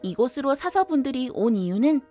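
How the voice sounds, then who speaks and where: High-voiced, eager young library keeper, played by a woman. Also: sing-song, brisk, warm tone, soft background music. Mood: gentle, knowing. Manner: polite, sweet, theatrical formal speech. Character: welcoming, eager youthful librarian who runs a magical library. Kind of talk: audio drama acting.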